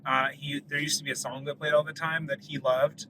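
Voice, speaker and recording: A man's voice: a little high, level tone, brisk. A man hosting a casual chat in a car, joking and laughing often. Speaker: podcast host. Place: inside a car. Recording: noisy car interior.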